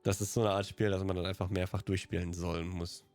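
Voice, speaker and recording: A man's voice: low-pitched, level tone, quick pace, darkish tone, clear, resonant tone, faint music behind. A man whose native language is German, hosting a let's play and reading visual novel narration aloud.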